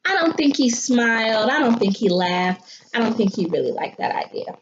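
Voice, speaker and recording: A woman schoolteacher reading a children's picture book aloud expressively, pausing to think aloud and put questions to young listeners. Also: fairly high pitch, animated. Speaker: elementary school teacher. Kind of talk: animated children's picture-book read-aloud with teaching asides. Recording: muffled audio with clear background noise.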